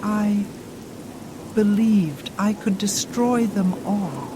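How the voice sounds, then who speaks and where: Fallen sorceress, slow formal delivery, proud and faintly regretful, fantasy game, rain and thunder behind. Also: female voice, medium pitch, animated, background noise. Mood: proud, solemn. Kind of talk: fantasy video game character acting.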